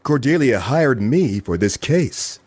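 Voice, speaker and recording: Casting: male actor for suspicious, obstinate detective, husky and animated, incredulous edge, comic audio drama. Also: mid-range voice, takes it slowly, dry recording, heavily acted. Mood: puzzled. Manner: incredulous, accusing. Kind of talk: comic radio play acting.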